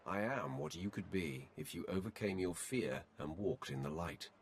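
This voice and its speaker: An actor playing a Sith warrior and his solemn light-side mirror self in a video game, speaking in a measured, formal, gravelly voice. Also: low-pitched, animated, a little hiss or hum. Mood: solemn, earnest. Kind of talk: video game dialogue acting.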